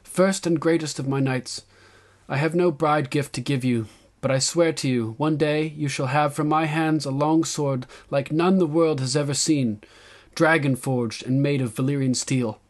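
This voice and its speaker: A man voicing a commanding young queen, raised pitch. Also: steady tone, moderate pace, natural voice. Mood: solemn, regal. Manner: solemn, declamatory. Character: young woman queen, resolute, regal, commanding. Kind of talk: audiobook character acting.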